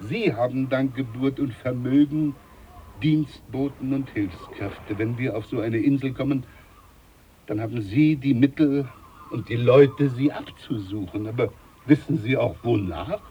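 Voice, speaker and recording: An actor playing a boastful, condescending older ship's doctor in a radio play. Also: male voice, mid-range voice, a little slow, highs cut off, slight background noise, lightly acted. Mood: pompous. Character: pompous older ship's doctor, self-important.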